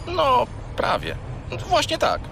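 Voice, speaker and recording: An actor playing a naughty, chatty little furry companion who rambles and whines naively in a children's radio play. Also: male voice, very high voice, huge pitch swings, slow pace, vocal fry, pushed and bright, slight background noise, heavily acted. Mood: cheeky. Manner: rambling, naively evasive, whiny.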